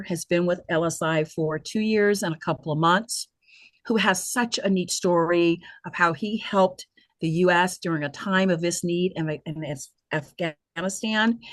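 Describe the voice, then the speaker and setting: Woman, webinar host, lower register, earnest and halting, very dry close sound. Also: no room sound. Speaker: nonprofit leader hosting a virtual town hall. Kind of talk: semi-prepared webinar address.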